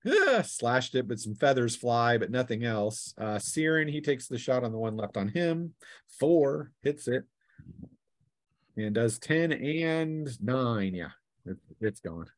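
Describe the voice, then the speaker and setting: A man's voice: medium pitch, very animated, slow pace, dry recording. A man acting as game master, narrating a tabletop role-playing game in a casual, teasing way.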